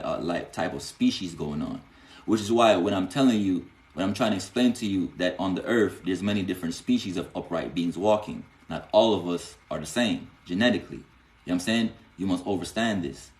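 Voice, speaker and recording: A man's voice: sits low, roomy sound. A man, teaching spiritual lore casually on a live stream and answering viewers, often asking "you know what I'm saying" and rising into emphatic bursts.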